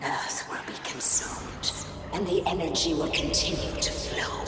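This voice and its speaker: Low, slow, whispering otherworldly queen played by a woman. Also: steady tone, lightly acted. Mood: cold, resolute. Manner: stately, measured, breathy half-whisper. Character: solemn, regal otherworldly female ruler, coldly menacing. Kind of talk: scripted video game cutscene acting. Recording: echoing long reverb under loud music.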